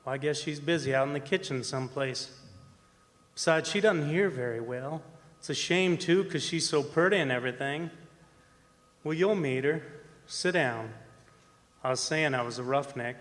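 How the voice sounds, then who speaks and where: A man playing a folksy, rambling, middle-aged former oil-field roughneck telling his story in a radio play. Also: a little high, normal speed, fairly bright tone, lightly acted. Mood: folksy, chatty. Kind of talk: radio drama monologue to a guest. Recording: hall reverb, music behind.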